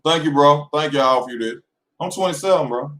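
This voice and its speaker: A man's voice: on the high side, fairly quick, a bit bright. A man in his twenties hosting a casual vlog monologue, talking loosely and slangily straight to his viewers. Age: 20s. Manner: loose, rambling and slangy, addressing viewers directly. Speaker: boxing channel host. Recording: echoey room.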